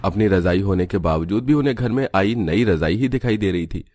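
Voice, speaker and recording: A man's voice: sits low, lively intonation, brisk, darkish tone. A man giving scripted narration for a cartoon story in Hindi.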